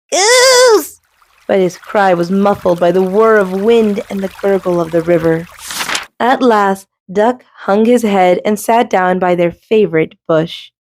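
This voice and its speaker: A woman's voice: medium pitch, a little mellow. A woman narrating a children's story expressively as a storybook reader.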